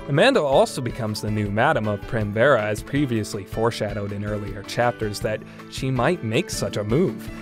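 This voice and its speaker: A man reviewing on his own podcast, casual and talkative, his pitch jumping up when he gets excited. His voice sits at an ordinary middle pitch. Guitar music plays clearly underneath him. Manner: enthusiastic, animated when excited.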